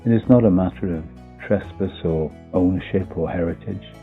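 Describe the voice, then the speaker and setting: A man's voice: low-pitched, normal speed. A man reading a scripted first-person story as its narrator. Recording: muffled phone-like audio with faint music. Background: faint music.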